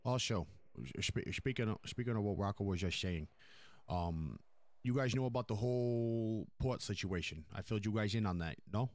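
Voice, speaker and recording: A low male voice, put on by a roleplayer, as a New York mob boss in a crime game. He is blunt and profane, hammering his orders home by repeating them. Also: normal speed, no echo, close to an everyday voice. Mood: businesslike, commanding. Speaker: roleplay player. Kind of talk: improvised in-character crime roleplay.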